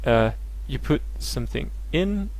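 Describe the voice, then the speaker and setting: A man's voice: mid-range voice, animated, a little slow, darkish tone, rough recording. A young man, a native Danish speaker, teaching maths in a casual live tutorial, hesitant and full of fillers and restarts.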